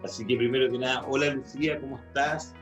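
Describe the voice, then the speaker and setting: A man's voice: mid-range voice, fairly even intonation, fast, muffled recording. A man with a Chilean accent hosting an interview in a semi-formal, somewhat hesitant way, often adding tag questions. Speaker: interview host. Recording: echoey room, music behind.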